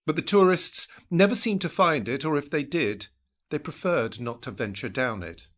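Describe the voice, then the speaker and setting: A man narrating a scripted literary story in a measured, wry storytelling manner. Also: mid-range voice, fairly bright tone. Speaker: narrator of an audio drama.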